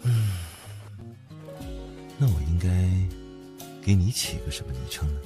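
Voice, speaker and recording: An actor playing an indulgent, unflappable company boss and older boyfriend, speaking calmly and dotingly in an audio drama. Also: male voice, low voice, unhurried. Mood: tender. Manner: calm, gently doting. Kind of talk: audio drama acting, intimate low-key talk. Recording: background music under the voice.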